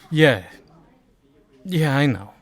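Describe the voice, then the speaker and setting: A man playing a downcast, reluctant young superhero in an audio drama, speaking haltingly. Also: fairly high pitch, lively intonation, no echo, heavily acted.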